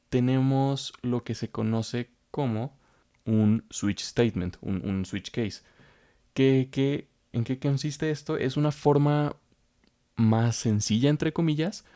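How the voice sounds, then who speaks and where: A man's voice: medium pitch, mellow. A man teaching programming in a coding tutorial, explaining step by step and repeating himself as he goes. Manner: explains step by step, repeats phrases, frequent fillers. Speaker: programming instructor. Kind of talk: screen-recorded coding tutorial.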